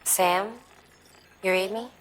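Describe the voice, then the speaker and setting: An actress playing a cool, resolute woman in a video game, speaking in short, measured phrases. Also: mid-range voice, fairly even intonation, close to an everyday voice. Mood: calm, resolute. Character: cool, resolute woman devoted to her sister. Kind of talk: video game cutscene acting.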